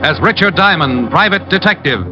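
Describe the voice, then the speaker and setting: A man's voice: high-pitched, expressive, moderate pace. A man announcing a show in grand, scripted 1940s radio style. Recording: old radio broadcast with music.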